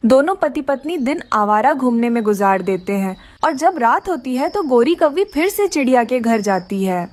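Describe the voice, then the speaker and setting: A woman narrator reads a scripted children's story. Her voice is high and very animated in pitch, recorded close and dry. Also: normal speed, few pauses, no echo.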